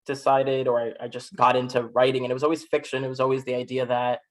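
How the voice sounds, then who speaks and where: A man's voice: mid-range voice, little pitch movement, quick pace. Reflective American man in casual conversation. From Miami, United States. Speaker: podcast guest, author.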